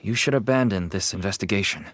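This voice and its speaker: A man's voice: on the low side, quick pace, a bit bright, slightly rough. An actor playing a weary merchant father turned smuggler in a video game, earnest and persuasive. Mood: urging, warning. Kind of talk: video game character acting. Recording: music behind the voice.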